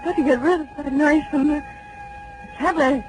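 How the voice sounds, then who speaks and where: A woman's voice: sits high, normal speed, close to an everyday voice, rough recording. An actress playing a frail, distraught woman in a 1940s radio melodrama, faltering as she speaks. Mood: pleading. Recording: old 1940s radio broadcast, music behind. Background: music.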